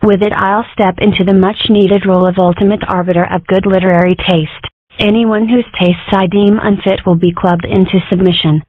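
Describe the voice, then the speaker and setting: A woman's voice: mid-range voice, fairly even intonation, normal speed, no echo, phone-quality sound, lightly acted. A woman voicing an opinionated, mischievous animal girl in a comic dub. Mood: self-important. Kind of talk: comic dub character acting.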